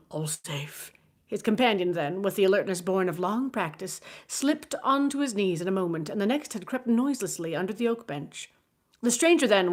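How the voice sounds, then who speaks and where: A woman reading a classic novel aloud in an expressive storybook narration style. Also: medium pitch. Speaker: audiobook reader.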